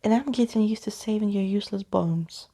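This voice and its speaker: A woman's voice: medium pitch, fairly quick, mellow, close to an everyday voice. A woman voicing an impish, sneering, brash six-year-old ghost girl in a storybook reading. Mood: sneering, defiant.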